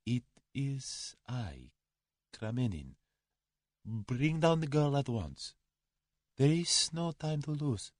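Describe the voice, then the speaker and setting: A scared, snarling Russian agent, put on by a man reading a storybook, higher than the narrator and dragging each word out. He sounds strained and shaky. The recording is dry and close. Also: animated, a bit bright, husky, no echo, heavily acted. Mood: urgent, tense. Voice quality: slow, strained, unsteady delivery.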